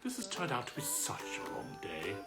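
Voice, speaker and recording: Children's storyteller puppet: chatty British armadillo played by a man, hugely animated mid-range voice, repeats himself fondly, faint music underneath. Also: medium pitch, normal speed, creaky. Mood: dry, weary. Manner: cosy, chatty, repeats phrases. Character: friendly chatty armadillo puppet, long-suffering bedtime storyteller. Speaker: host of children's live story show. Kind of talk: casual children's livestream chat.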